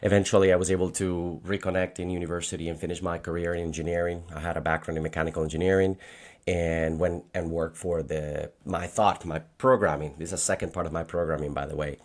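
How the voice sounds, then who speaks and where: Deep-voiced Colombian man in conversation. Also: flat delivery, fast talker. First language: Spanish. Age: around 40. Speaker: podcast guest, financial agent. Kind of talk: conversational personal storytelling.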